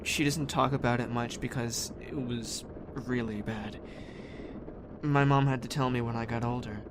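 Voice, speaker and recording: A man's voice: mid-range voice, fairly even intonation, moderate pace, natural voice. A man as a headstrong teenage boy, noisy background. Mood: subdued, confiding. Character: headstrong teenage boy survivor, blunt but earnest. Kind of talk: naturalistic amateur audio drama acting. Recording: clear vehicle-like background noise.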